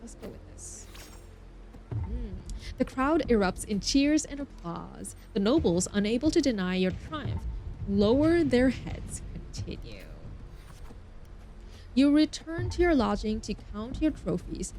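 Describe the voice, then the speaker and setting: A woman game streamer reading story text aloud, stumbling over words now and then and breaking off into expressive asides. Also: a little high, a little slow, a little hiss or hum, low-quality recording. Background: music.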